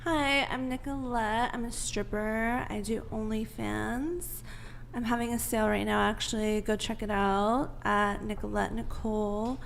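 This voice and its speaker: A woman's voice: on the high side, steady tone, unhurried, creaky, slight background noise. A young woman, a podcast guest, introducing herself in an upbeat promotional way.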